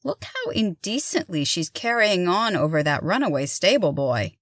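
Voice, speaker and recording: A woman's voice: on the low side, sing-song, normal speed, dry recording, clean studio sound. A woman voicing scandalized, gossiping onlookers in a storybook, with a disapproving manner. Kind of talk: storybook reading.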